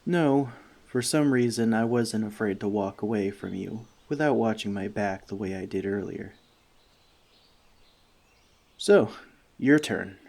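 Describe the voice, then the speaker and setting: A man playing a friendly forest elf in an ASMR roleplay, talking to the listener with dry, gentle teasing. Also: on the low side, unhurried, pauses often. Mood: relaxed, open.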